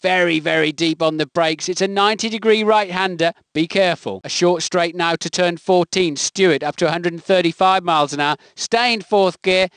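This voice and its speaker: A man's voice: sits high, fairly quick, hardly any pauses, fairly bright tone, clear, resonant tone, no echo, clean studio sound. A man delivering scripted motor racing commentary for a video game in clipped, emphatic phrases. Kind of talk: scripted race commentary voice clips.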